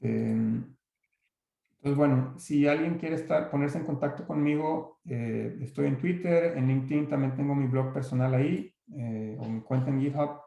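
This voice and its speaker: Gravelly Mexican man in an echoey room. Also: mid-range voice, moderate pace, dark tone. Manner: hesitant, many restarts and fillers. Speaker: guest speaker. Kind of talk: online talk answering audience questions.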